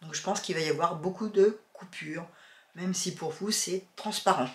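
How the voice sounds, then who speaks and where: A woman's voice: on the low side, normal speed. Chatty woman with a cold, echoey room. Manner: chatty, hesitant, many fillers and restarts. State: has a cold, sniffling. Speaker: sewing and knitting YouTuber. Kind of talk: casual chat to camera.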